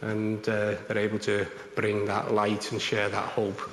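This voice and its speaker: A man preaching an informal sermon in a rambling, conversational way, his voice a little croaky. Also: lowish voice, completely flat delivery, a little slow, roomy sound, in a large hall, rough recording. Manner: conversational, rambling with many fillers. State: slightly croaky voice.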